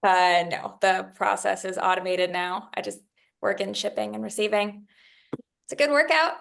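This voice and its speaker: A woman's voice: mid-range voice, steady tone, moderate pace, creaky, fairly bright tone. A woman playing an eager, apologetic young female factory worker in a screenplay table read, hesitant and polite. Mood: nervous, friendly.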